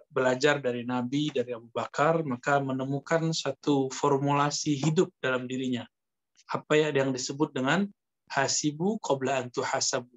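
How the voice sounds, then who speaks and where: A man giving a conversational Islamic lecture as a preacher, explaining in a halting way with pauses. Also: on the high side. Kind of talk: religious lecture teaching from a book, conversational. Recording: dull lo-fi lecture recording.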